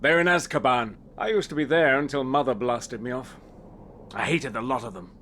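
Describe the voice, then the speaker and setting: A man's voice: medium pitch, normal speed, sharp tone, a little hiss or hum, close to an everyday voice. An actor playing a middle-aged, world-weary but caring godfather in a video game, with a British accent. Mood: brooding. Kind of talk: video game cutscene acting.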